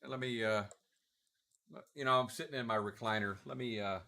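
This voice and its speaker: A man streaming a game from his recliner at home, reading out viewer comments and answering them in a relaxed, rambling way with lots of fillers and restarts. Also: mid-range voice, normal speed, vocal fry. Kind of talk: casual livestream chat, answering viewer comments. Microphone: desk mic, sometimes too far from it.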